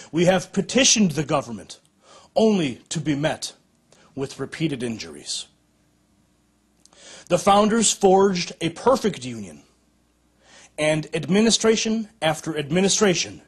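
Solemn American man, husky, higher-pitched voice. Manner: solemn, declamatory, measured. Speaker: organization director giving an address. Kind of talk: formal scripted political speech. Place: indoor curtained room, at a podium.

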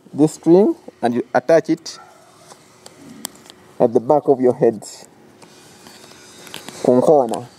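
A man's voice: mid-range voice, dark tone. A man in his thirties with a Ugandan accent, teaching a soap-making safety class outdoors and explaining each step. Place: outdoors by a metal gate.

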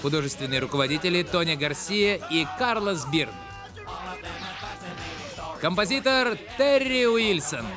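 A man's voice: sits high, animated, measured pace, long pauses, over loud music. A man reading scripted credits as the announcer of a cartoon.

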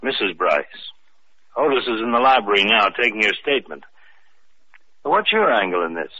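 A brusque police lieutenant with a lively voice, as an actor plays him on an old radio show. He talks in a businesslike, skeptical way, and the tinny recording sounds like a phone line. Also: on the low side, moderate pace, dry recording, exaggerated voice. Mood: brisk. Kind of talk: 1940s radio acting.

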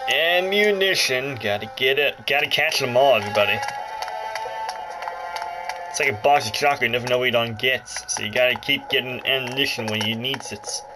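A man's voice: mid-range voice, brisk, poor audio. A man giving casual, rambling let's play commentary, jokey and often interrupting himself. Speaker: gaming video host. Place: indoors, about a foot from the handheld console. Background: game music from the console speaker.

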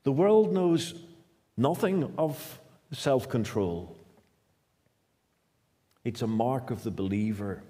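Northern irish preacher guy in his 50s, slow and emphatic, echoey church hall. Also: mid-range voice, mellow. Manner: emphatic, repetitive preaching with sudden bursts of speed. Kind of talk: sermon. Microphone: stand mics at lectern, far camera.

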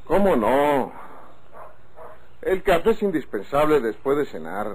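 A man's voice: fairly high pitch, very animated, unhurried. Chatty, suspicious Mexican ranch man, swooping intonation, old radio drama with slight hiss. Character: Don Otilio, chatty wary male rancher. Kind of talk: golden-age Mexican radio drama acting. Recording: faintly noisy old radio recording.

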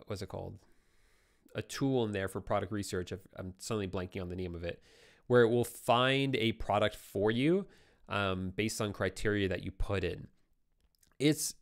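A young man hosting a casual live stream, reading viewer questions aloud and answering them, his voice tired and going hoarse, on a close studio microphone. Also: lowish voice. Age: young adult. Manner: relaxed, conversational, reads viewer comments aloud. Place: home studio with brick wall. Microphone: boom-arm studio microphone close up.

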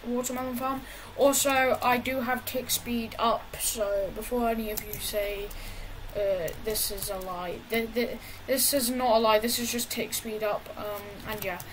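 A woman casually talking through a building tutorial over gameplay, halting often with fillers and restarts. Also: a little high, slight background noise, rough recording. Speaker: gaming tutorial creator.

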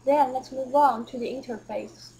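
A woman reading scripted narration for a software tutorial. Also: sits high, fairly even intonation, moderate pace, husky, low-quality recording.

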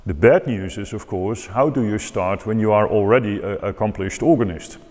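A man's voice: fairly low pitch, darkish tone. Dutch man teaching in an echoing church. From Netherlands. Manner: measured, thoughtful, gestures with hands while explaining. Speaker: organ teacher. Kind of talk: calm explanatory talk to camera. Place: large church with organ loft, indoors. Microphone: far camera, seated at a table. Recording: long church reverb.

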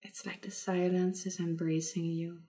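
A woman leading a guided meditation in a soothing, unhurried manner with long pauses. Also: lowish voice, little pitch movement, dark tone.

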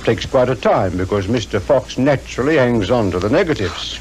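An actor playing a blunt, knowing police inspector with a British accent in a 1950s radio drama, plain-spoken and clipped. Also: male voice, mid-range voice, expressive, moderate pace, natural voice. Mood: knowing, explanatory. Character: blunt, worldly, confident male police inspector. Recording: noisy 1950s radio recording.

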